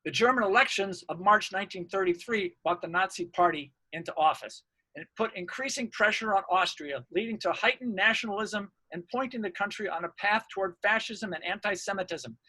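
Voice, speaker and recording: A man's voice: sits high, a little fast, pushed and bright, no echo, low-quality recording. A man reading out a scripted academic research presentation.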